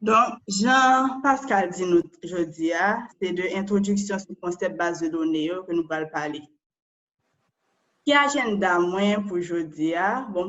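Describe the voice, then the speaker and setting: A woman's voice: on the low side, fairly bright tone, dull audio. A Haitian woman and data analyst giving an online presentation, explaining step by step and often ending phrases with a questioning tag.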